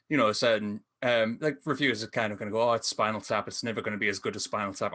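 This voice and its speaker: A man's voice: on the low side, bright, forceful tone. A man in casual film discussion as a podcast co-host, often chuckling as he talks. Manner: chuckles often while talking.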